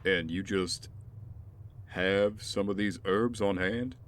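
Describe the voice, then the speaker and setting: Deep voiced ex-soldier buddy, man acting, steady, encouraging, audio drama, some noise behind. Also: slow pace. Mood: upbeat. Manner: conversational, encouraging. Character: steady, supportive ex-soldier, male partner of a vigilante. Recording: background noise with ambience.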